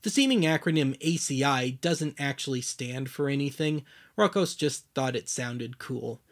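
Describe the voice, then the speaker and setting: A man's voice: medium pitch, normal speed. A man hosting and narrating a scripted documentary series with a dry, wry manner.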